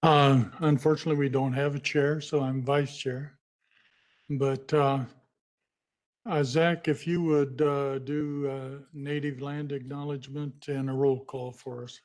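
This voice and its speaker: A man's voice: mid-range voice, level tone, unhurried, darkish tone. Folksy man chairing a city meeting. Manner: plain, folksy, loose with fillers. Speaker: vice chair running a city commission meeting. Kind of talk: public meeting procedure.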